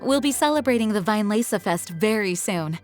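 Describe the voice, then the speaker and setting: A woman's voice: mid-range voice, lively intonation, normal speed, lightly acted. A woman voicing a dutiful, composed knight commander in a video game, formal and courteous. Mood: friendly, courteous. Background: music.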